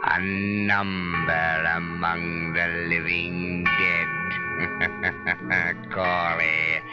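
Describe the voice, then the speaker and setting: An actor playing a sinister, gloating phantom narrator with drawn-out, taunting, ominous delivery in 1930s melodrama style. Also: male voice, low voice. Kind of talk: 1930s melodramatic film acting. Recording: muffled 1930s soundtrack under loud music. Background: loud music.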